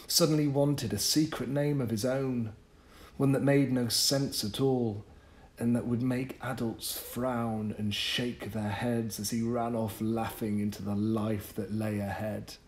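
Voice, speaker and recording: A man reading a literary short story as an audiobook, with measured, deliberate phrasing and pauses. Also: medium pitch, fairly even intonation, a little mellow. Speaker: audiobook narrator. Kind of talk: scripted literary story narration.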